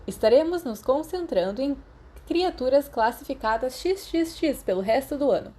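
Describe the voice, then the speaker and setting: Casting: hearty male creatures teacher, thirties, storybook classroom, encouraging, mellow tone with lifted pitch played by a female narrator. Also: lively intonation, moderate pace. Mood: enthusiastic teaching. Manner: booming, encouraging classroom address. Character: hearty, enthusiastic male professor of magical creatures in his thirties. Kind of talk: audiobook character dialogue.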